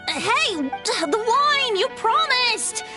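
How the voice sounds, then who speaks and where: A woman's voice: very high-pitched, sing-song, extremely slow, over music, heavily acted. A woman voicing a breezy, theatrical young male bard in a video game, with a playful lyrical manner. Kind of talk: video game character acting.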